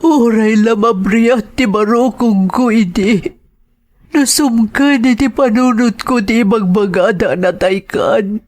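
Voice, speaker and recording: Woman acting slow-spoken, wounded Ilocano wife. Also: a little high, darkish tone. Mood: anguished, reproachful. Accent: Filipino (Ilocano). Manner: slow, aggrieved, emotional. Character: wounded, reproachful wife long abandoned by her husband. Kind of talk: audio drama acting.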